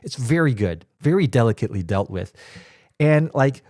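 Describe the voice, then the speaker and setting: A man hosting a casual solo podcast, talking in a chatty, animated way, his voice raspy from a cold. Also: medium pitch, normal speed, no room sound, clean studio sound. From Taiwan. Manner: chatty, animated, emphatic asides. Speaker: podcast host.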